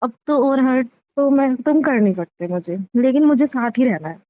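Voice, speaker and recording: A woman's voice: sits high, expressive, normal speed, dry recording, muffled recording. A woman playing a hurt, betrayed young wife in a naturalistic relationship drama, curt and insistent. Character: hurt, betrayed pregnant wife, stubborn and demanding. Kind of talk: naturalistic Hindi relationship audio drama, staged as a private conversation.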